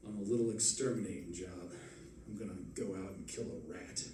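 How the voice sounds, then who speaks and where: An actor playing a determined, hardboiled detective in an old-time radio drama, with clipped, wisecracking tough talk. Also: male voice, low voice, fairly quick, dark tone, lightly acted. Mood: grim, determined. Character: hardboiled, determined male detective, tender to his wife. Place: live stage performance, mic at some distance. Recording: roomy stage recording, distant, faint noise.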